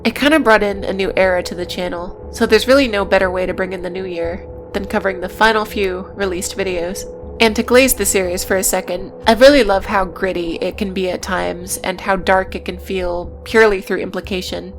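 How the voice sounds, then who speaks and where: A woman's voice: mid-range voice, steady tone, fairly quick, poor audio. Wry woman narrating over music. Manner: wry, sardonic asides. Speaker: video essay host. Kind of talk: scripted narration with casual asides.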